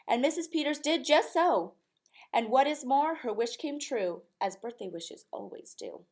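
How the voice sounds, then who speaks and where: High-voiced woman reading a storybook. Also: lively intonation, measured pace, creaky, a little mellow. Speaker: teacher reading a picture book aloud. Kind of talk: expressive rhyming storybook read-aloud.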